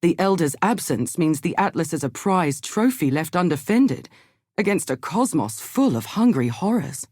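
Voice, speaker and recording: An actress playing an earnest, scholarly woman survivor in a video game, speaking in a measured, articulate, grave way. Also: on the low side, sing-song, darkish tone, no echo, studio quality. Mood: thoughtful, informative. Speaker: game character giving lore and quests. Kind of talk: scripted video game dialogue.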